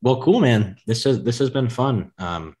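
A man's voice: mid-range voice, animated, measured pace, a little mellow. A man from the States with a country-leaning American accent, hosting a podcast in an easygoing way and often repeating words as he talks. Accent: rural American. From United States. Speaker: podcast host. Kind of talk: casual chat.

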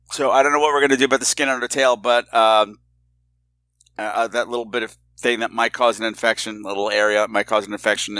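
A man's voice: mid-range voice, sharp tone, no room sound. A man hosting a podcast in a casual, personal monologue, with a theatrical, campy streak and a candid, confiding way of talking. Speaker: podcast host.

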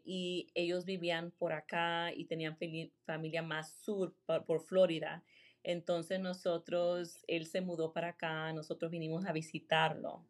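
Woman from rhode island, low voice, real estate agent chatting casually, lots of um and so. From Rhode Island, USA. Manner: chatty, switches between Spanish and English fillers. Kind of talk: casual interview.

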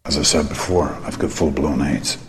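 A man playing a grim, gruff monster tracker in mock film trailer dialogue, with a gravelly voice. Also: a bass voice, steady tone, normal speed, low-quality recording. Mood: grim, matter-of-fact.

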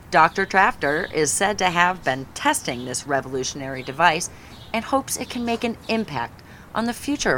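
A woman's voice: mid-range voice, a bit bright, a little hiss or hum, lightly acted. An actress playing a female TV news reporter delivering a live field report. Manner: crisp, urgent reporting.

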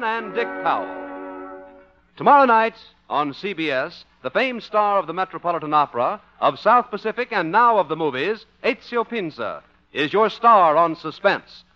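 High-pitched male vintage radio announcer. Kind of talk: 1950s radio announcing, scripted. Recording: muffled old radio broadcast, music behind. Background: music.

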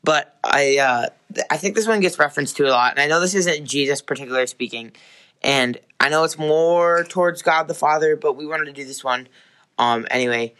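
A man co-hosting a casual faith podcast, slightly hoarse, rambling with many restarts and fillers. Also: fairly high pitch, a little fast, pushed and bright. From United States. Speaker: podcast co-host.

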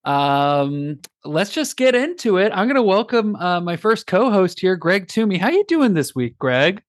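A man's voice: high-pitched, big pitch swings, unhurried, no room sound, clean studio sound. A man hosting a casual sports podcast, playful and jokey as he introduces his co-hosts. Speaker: podcast host.

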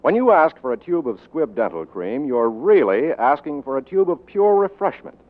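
A tinny old radio recording carries a male announcer with a slightly high, very lively voice. He reads scripted ad copy in a polished 1940s salesman style. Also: normal speed.

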